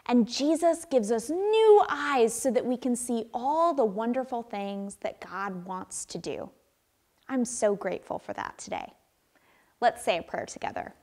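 High-voiced young woman pastor talking to kids. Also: a little slow. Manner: bright, animated, speaking to children. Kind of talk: children's sermon. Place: church sanctuary. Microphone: far camera.

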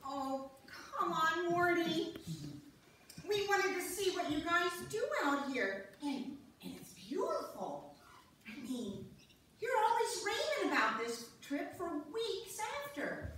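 A woman acts out a cheerful wife in a stage comedy, gushing and coaxing. Her voice is bright and very animated. It is heard from far off in an echoey hall with light noise. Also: extremely high pitch, slow pace, heavily acted. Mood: coaxing, curious. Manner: gushing, playful, exclamatory. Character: cheerful, coaxing wife visiting husband's ice-fishing camp. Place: church sanctuary, live stage reading. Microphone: distant audience camera.

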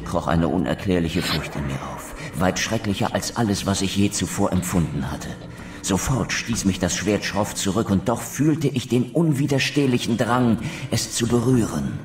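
An actor playing a bitter, solemn undead wraith in a video game, speaking in a grave, formal, theatrical way. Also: male voice, low voice, background noise. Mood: dread. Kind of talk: dramatic scripted game dialogue and narration.

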